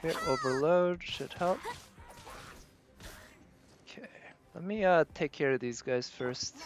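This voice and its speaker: A woman giving casual livestream game commentary, thinking aloud in halting fragments as she plays. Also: sits low, over music. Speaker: game streamer.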